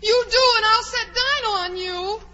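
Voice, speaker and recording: A woman's voice: extremely high pitch, moderate pace. A woman playing a curious, chatty, plucky young girl who wonders aloud in a 1940s radio drama. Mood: plucky, indignant. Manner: wondering aloud, exclaiming, polite. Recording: dull, hissy 1940s radio recording.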